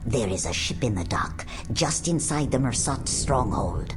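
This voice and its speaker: An actor playing a grave sage who narrates legend in a fantasy game, solemn and formal. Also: male voice, mid-range voice, expressive, a little slow, close to an everyday voice. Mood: urgent, warning. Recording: loud music over the voice.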